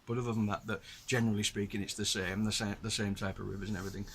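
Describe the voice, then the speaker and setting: A man's voice: on the low side, level tone, rapid-fire, fairly bright tone, slight background noise. A middle-aged man from the north of England with a Northern English accent, chatting patiently to viewers as he explains his gear. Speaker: host. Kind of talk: casual show-and-tell demonstration.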